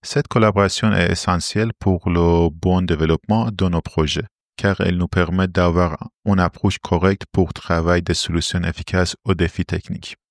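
Low-voiced Iranian-accented man, formal and explanatory. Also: steady tone, normal speed, no room sound, clean studio sound. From Iran. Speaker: interview guest, robotics R&D engineer. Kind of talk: prepared interview answers.

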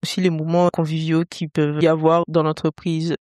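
A woman in her late twenties with a low, smooth and resonant voice speaks carefully, pausing often on small hesitations. Her tone stays flat, and the sound is dry and close. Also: dry recording. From Martinique. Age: 20s. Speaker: engineering master's student and intern.

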